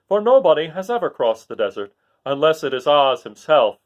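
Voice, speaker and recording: A man's voice: fairly high pitch, sing-song, normal speed, pitched above the performer's own voice. A man voicing a timid, cautious soldier in a children's storybook, giving information in a careful, emphatic way. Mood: hesitant. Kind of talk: children's storybook read aloud.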